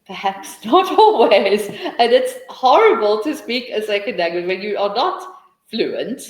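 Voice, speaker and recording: A woman's voice: mid-range voice, expressive, measured pace, roomy sound. A South African woman hosting a live teaching webinar, answering questions in a chatty, encouraging way with many restarts.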